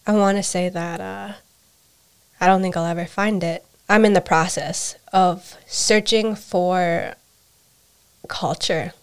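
A young American woman talks in a reflective, personal conversation. Her voice sits a little low for a woman. She is candid and introspective, often halting and restarting a phrase as she works out what she means. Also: fairly even intonation, clean tone. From United States. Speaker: interview guest, adoptee.

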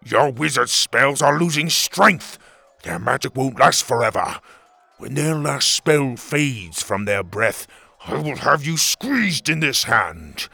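A man voicing a boastful, threatening warlord who commands a creature army in a children's fantasy story, with a growling, gravelly voice. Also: mid-range voice, animated, slow, bright, forceful tone, no echo, exaggerated voice. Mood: menacing. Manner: threatening, gloating. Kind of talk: children's fantasy audiobook character.

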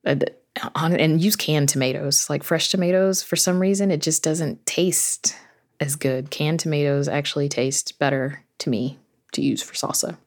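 A woman's voice: deep voice, moderate pace. A woman speaking as an interview guest in casual conversation. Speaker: podcast guest, fiction creator. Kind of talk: casual chat.

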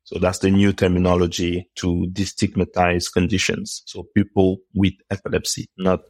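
A man with a very low voice speaks as an interview guest. He talks in a reflective, unhurried way, thinking aloud and often dropping in "you know". The recording is dry and close, with little room sound. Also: monotone, a little mellow, no echo. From Cameroon. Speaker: podcast guest, neurotechnologist. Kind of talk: casual interview conversation.

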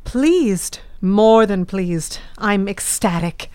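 A woman's voice: mid-range voice, animated, very slow, darkish tone, smooth, no room sound. A woman playing a nosy, gushing middle-aged mother who cares about status, in a period audio drama. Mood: delighted, gossipy. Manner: eager, prying, gossipy.

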